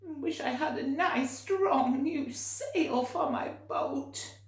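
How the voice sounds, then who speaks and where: A woman voicing an earnest, forlorn goat in a children's storybook, with drawn-out, bleating words. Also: high voice, roomy sound, voice pushed up.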